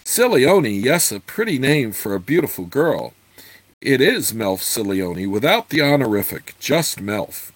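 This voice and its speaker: A man's voice: mid-range voice, sing-song, unhurried, dry recording. A man voicing a polite, gallant male elf adventurer with formal, courteous phrasing, in a storybook reading.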